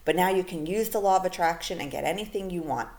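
A woman with a low voice hosts her own podcast alone. She talks in a loose, chatty way with plenty of fillers. Her voice is going a little hoarse as she is losing it. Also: fast talker, darkish tone. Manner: casual, chatty, lots of fillers like "you know" and "like". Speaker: podcast host. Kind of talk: solo podcast monologue.

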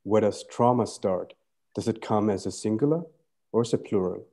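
Low, mellow-voiced reflective man. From Sri Lanka. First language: Tamil. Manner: measured, poetic, rhetorical questions. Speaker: poet guest. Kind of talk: reflective literary conversation.

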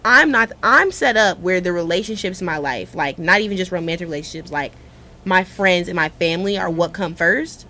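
Animated, profane young woman co-host. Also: a little fast. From Cleveland, Ohio. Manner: animated, swooping pitch, frank and profane. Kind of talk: casual chat.

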